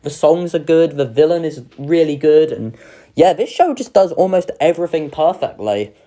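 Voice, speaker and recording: Animated young reviewer, very varied pitch. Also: normal speed. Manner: informal, swearing, enthusiastic. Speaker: video creator ranking children's pantomimes. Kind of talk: casual review ranking commentary.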